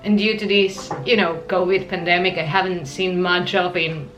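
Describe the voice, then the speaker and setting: A woman's voice: on the low side, flat delivery, normal speed, a bit bright, lo-fi sound, faint music behind. A woman from Argentina whose first language is Spanish, speaking English with an Argentine accent as she chats casually through her painting vlog. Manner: chatty, self-deprecating, explains as she goes. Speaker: art vlogger.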